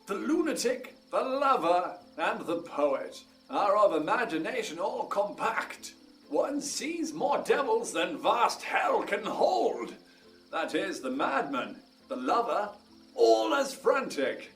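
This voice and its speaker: A man playing a sceptical, lofty duke, delivering measured oratory in a theatrical Shakespearean audio drama. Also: high-pitched, a bit bright, full character voice. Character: Theseus, sceptical, lofty male duke reflecting philosophically. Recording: slightly distant, faint music behind.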